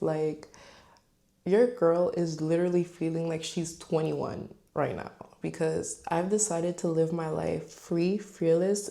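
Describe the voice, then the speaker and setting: A woman's voice: low voice, creaky, warm tone. A woman in her twenties talking candidly to camera on her own channel, chatty and full of fillers. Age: 20s. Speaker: vlogger. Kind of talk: casual talk to camera.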